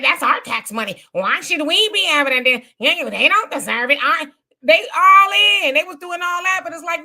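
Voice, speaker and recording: A woman hosting a casual livestream, reading viewer comments aloud and talking back with lively, mocking asides. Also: a little high. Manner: animated, mocking voices when quoting others.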